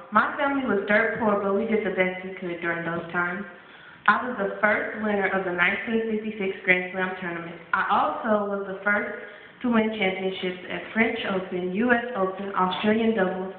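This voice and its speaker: Woman, plain recited monologue, tinny phone-like recording, faint noise. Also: mid-range voice. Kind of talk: school play monologue. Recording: echoey hall, phone-quality audio.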